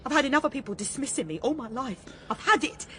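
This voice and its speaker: A woman's voice: high voice, lively intonation, fast, bright, forceful tone, a little hiss or hum. A woman playing a volatile, embittered ex-soldier in a radio drama, insistent and accusing. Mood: bitter, angry. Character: volatile, embittered female ex-soldier holding men at gunpoint. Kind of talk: naturalistic radio drama acting.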